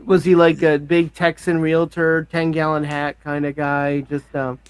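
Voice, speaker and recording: A man's voice: on the high side, little pitch movement, a little slow, smooth. A man co-hosting an interview in casual chat, playful and encouraging with his guest. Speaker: co-host.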